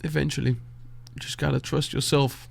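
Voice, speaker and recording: A Dutch man, a native Dutch speaker, talking softly and haltingly, searching for words and sighing as he reflects after a song. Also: mid-range voice, little pitch movement, vocal fry. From Netherlands. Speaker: beatboxer reacting to music. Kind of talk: reflective reaction talk.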